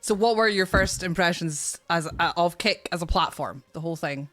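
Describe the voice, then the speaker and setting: Woman podcast host, lowish voice, chatty, keeps restarting her questions. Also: normal speed, fairly bright tone, no echo, soft background music. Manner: friendly, repetitive restarts, laughs often. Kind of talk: casual podcast interview.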